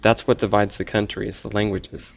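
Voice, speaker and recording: A man's voice: lowish voice, little pitch movement, fairly quick, vocal fry, muffled recording, a little hiss or hum. A man interviewing a guest, asking curious short questions and reacting with interest. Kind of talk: casual interview.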